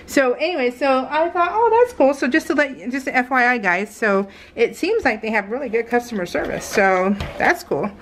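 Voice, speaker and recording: A woman's voice: a little high, moderate pace, hardly any pauses, a little hiss or hum. Chatty, rambling American woman vlogger. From California, United States. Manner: rambling, animated retelling with acted-out quotes. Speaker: craft vlogger. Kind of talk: casual chat while crafting, voiced in real time.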